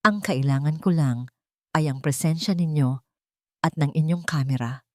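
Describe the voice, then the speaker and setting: A woman voicing a determined, vengeful mother in an audio story, firm, dramatic and deliberate. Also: sits low, mellow, dry recording, clean studio sound, lightly acted. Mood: persuasive.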